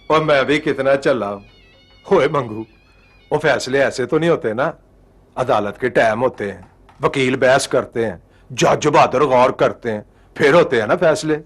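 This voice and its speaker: Actor as a slow-talking, cocky Punjabi cart driver. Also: male voice, medium pitch, no echo, soft background music, natural voice. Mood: jaunty, hopeful. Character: cocky, hopeful working-class male horse-cart driver. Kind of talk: colloquial television drama acting, musing aloud.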